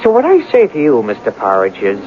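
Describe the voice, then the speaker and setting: An actor in a 1970s radio drama playing a blunt, stubborn, quick-tempered suitor who always sounds put upon. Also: male voice, mid-range voice, huge pitch swings. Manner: blunt, dogged, aggrieved. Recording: muffled 1970s radio recording, light hiss.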